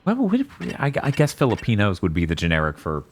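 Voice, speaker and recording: A man's voice: on the low side, huge pitch swings, quick pace, a little hiss or hum. A man from the United States hosting a game stream, chatting casually and joking, with frequent laughs and swearing. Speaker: streamer host. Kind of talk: casual chat over gameplay.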